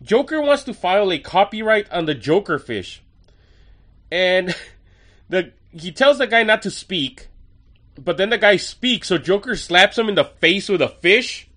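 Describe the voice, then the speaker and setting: A male podcast host running through an episode in a chatty, enthusiastic way with wry asides. His voice is a bit higher than most men's and bright and forceful. He sounds a little stuffy and nasal from a cold. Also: big pitch swings, unhurried. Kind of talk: casual episode review.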